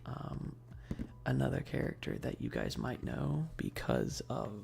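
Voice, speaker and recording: A man with a heavily fried, dark and mellow voice talks softly, at times close to a whisper. He rambles at an unhurried pace as a streamer chatting about games, hesitant and slangy, with casual swearing and laid-back enthusiasm. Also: mid-range voice, huge pitch swings, creaky. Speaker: streamer hosting a live stream.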